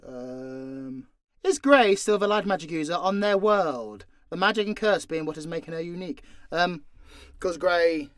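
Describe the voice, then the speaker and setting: A man's voice: on the high side, dry recording. A man with a southern English accent answering questions casually and off the cuff, blunt and joking, with frequent swearing. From southern Britain. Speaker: audio story creator and voice actor. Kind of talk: casual, unscripted Q&A.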